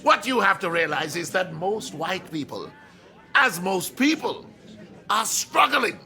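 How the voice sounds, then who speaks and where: An actor playing a provocative, condescending professor speaking emphatically in a radio drama. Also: male voice, high voice, sing-song, a little slow, pushed and bright, full character voice. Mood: fervent. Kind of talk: radio drama acting, public lecture.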